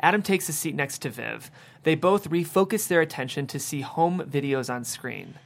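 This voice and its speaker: A man's voice: fairly high pitch, expressive, normal speed, vocal fry. Man reading screenplay stage directions. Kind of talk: scripted narration, screenplay table read.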